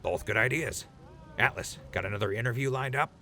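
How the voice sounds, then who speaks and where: A man's voice: medium pitch, a little fast, gravelly, bright, forceful tone, slight background noise. A man playing an easygoing, wisecracking leader of a radio crew in an audio drama, glib and casual. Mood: breezy.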